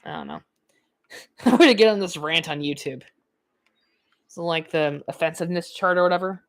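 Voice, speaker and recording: A nineteen-year-old woman talks over her gameplay in a loose, rambling way, stacking fillers and breaking into little laughs. Her voice sits a bit low for a woman. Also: very animated, normal speed. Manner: chatty, filler-heavy, laughs often. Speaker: gaming YouTuber. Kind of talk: rambling let's-play commentary.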